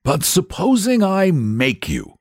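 A man's voice: fairly high pitch, very animated, unhurried, no room sound, studio quality, voice pushed up. A man voicing an earnest, patient, confident storybook knight who reasons in an eager, persuasive way. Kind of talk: storybook character voice.

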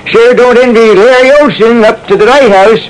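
High-pitched folksy old innkeeper. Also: male voice, steady tone, moderate pace. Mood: hearty, folksy. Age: older. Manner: folksy, clipped rustic phrasing. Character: excitable folksy old male seaside innkeeper. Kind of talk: classic radio drama acting. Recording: noisy old radio broadcast.

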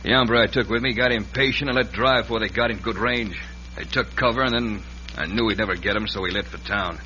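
Gruff American western henchman, lowish and tense, humming vintage radio sound. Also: brisk, pushed and bright. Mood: grumbling, doubtful. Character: gruff, doubting outlaw henchman. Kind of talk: old-time radio western acting. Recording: dull vintage radio with hum.

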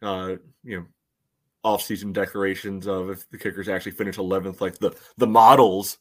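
Lower-voiced man in casual sports chat. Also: level tone, a bit bright. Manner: halting, peppers talk with "you know". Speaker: co-host of a soccer fan podcast.

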